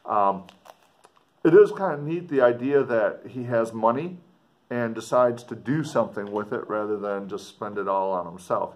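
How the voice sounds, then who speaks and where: A middle-aged man reading a book aloud as a teacher with commentary, measured, with deliberate pauses and emphasis. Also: lowish voice.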